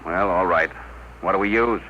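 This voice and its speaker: An actor playing an earnest, decisive rocket crew leader in a 1950s radio drama. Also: male voice, low voice, moderate pace, sharp tone. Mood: businesslike. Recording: noisy, muffled old radio.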